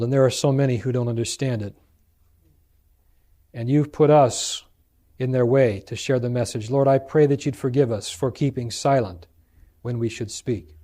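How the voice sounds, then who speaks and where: Mellow-voiced man praying earnestly. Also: medium pitch. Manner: solemn, pleading, with deliberate pauses. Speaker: preacher. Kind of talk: earnest spoken prayer.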